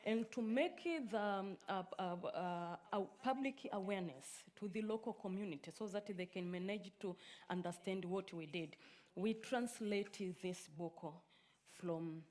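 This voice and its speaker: A woman from Tanzania whose first language is Swahili, giving a conference talk in a halting way with many filler sounds. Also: medium pitch, a little mellow. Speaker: conference speaker. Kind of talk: conference presentation.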